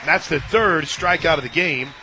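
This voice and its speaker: Higher-pitched American man calling radio play-by-play. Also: moderate pace, crowd noise, background noise. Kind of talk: live sports commentary with chatty asides. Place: stadium radio booth.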